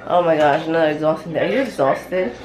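A woman's voice: low-pitched, expressive, fast talker, over a noisy crowd. A woman in her twenties, a stay-at-home mom, chatting playfully to camera in a family vlog, dropping in Spanish words. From United States. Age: 20s. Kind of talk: casual family vlog chat. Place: home kitchen, indoors. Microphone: handheld camera at arm's length. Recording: echoey room, clear background noise.

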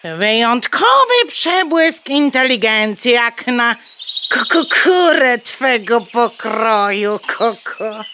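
A woman's voice: a little high, very animated, slow. A woman playing a bossy, indignant mother hen in a children's radio play, lecturing haughtily with clucking tics. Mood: reproachful. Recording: bird chirps and noise behind. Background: birdsong, farmyard ambience.

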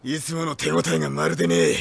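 Husky, cocky young warlord. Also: male voice, a little high, big pitch swings, fast, dry recording, full character voice. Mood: aggressive. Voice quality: husky, rough. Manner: brash, curt slang sprinkled with English catchphrases. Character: cocky, hot-blooded young warlord, rough-spoken rival swordsman. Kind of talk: Japanese anime-game audio drama acting.

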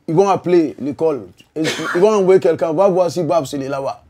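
A man's voice: a little high, brisk. A man chatting casually in a barbershop, emphatic and repetitive, explaining things by example. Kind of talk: casual chat. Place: busy barbershop, indoors. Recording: faint barbershop background noise.

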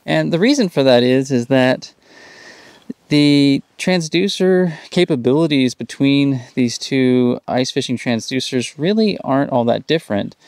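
A man in his thirties on a frozen lake outdoors, explaining fishing electronics in a relaxed, casual demonstration. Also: mid-range voice, a little slow, clean tone, no echo. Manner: explanatory, relaxed, upbeat when catching fish. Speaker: fishing channel host.

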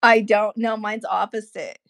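A woman with a gravelly voice chatting casually and joking while drawing at home. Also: medium pitch, fairly even intonation, unhurried, a bit bright, dry recording. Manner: joking, playful, laughs often. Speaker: artist co-host.

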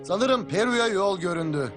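Protective hero father, high lively voice, commanding, wry, cartoon, music behind. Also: unhurried. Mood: confident. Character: brave, protective adventurer father, cool-headed. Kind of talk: animated cartoon dubbing.

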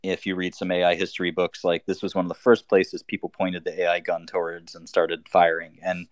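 Low-voiced man rambling on a call. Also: monotone, a little fast. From Albania. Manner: rambling, lots of fillers and restarts. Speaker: host. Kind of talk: casual live audio chat.

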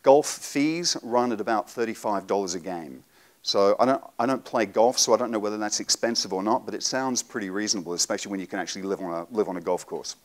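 A man in his forties giving a live seminar talk to an audience in a conference room, relaxed and explanatory with frequent ums. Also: on the low side, fast. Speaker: presenter.

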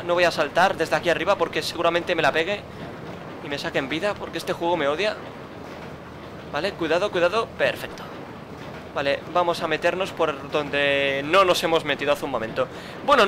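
A man's voice: a little high, noisy background. A man commenting his own gameplay, muttering, grumbling and swearing at the game. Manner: frustrated, swearing, muttering to himself. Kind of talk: let's play commentary.

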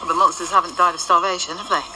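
Brief: actress as a sharp sceptical ghost, sardonic, low register. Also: female voice, fairly even intonation, very fast, noisy background, lightly acted. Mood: wry. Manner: wry, sardonic.

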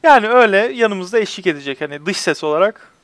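A young man hosts a casual gaming session. His voice sits a little high for a man. He chats with friends as he explains what he is doing in the game. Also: expressive, fast talker, a bit bright, smooth, no room sound. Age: young adult. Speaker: host of a gaming video channel. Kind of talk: casual let's-play commentary with friends. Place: indoors at a computer, webcam shown.

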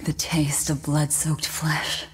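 An actress playing a brooding, vengeful young woman, narrating a dramatic game with measured intensity. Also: deep voice, monotone, breathy edge, whispered, long reverb tail, exaggerated voice. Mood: cold, vengeful. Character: grim, vengeful young woman haunted by demons.

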